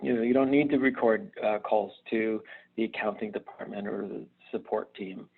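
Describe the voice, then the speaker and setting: A man's voice: lowish voice, fairly even intonation, gravelly, slightly rough. Phone-like audio, man presenting a webinar. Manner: halting, conversational explaining with frequent hesitations and repeated words. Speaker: presenter at a virtual conference. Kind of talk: live business webinar presentation, instructional. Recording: narrow, phone-like online call audio.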